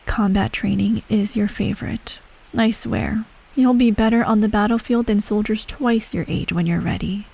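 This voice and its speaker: A woman playing an imperious, self-righteous empress who dotes on her child, speaking softly and formally in a bedtime ASMR roleplay. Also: mid-range voice, fairly even intonation, creaky, breathy edge. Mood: tender, reassuring. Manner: soft, measured, formal, gently condescending. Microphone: close, dry microphone.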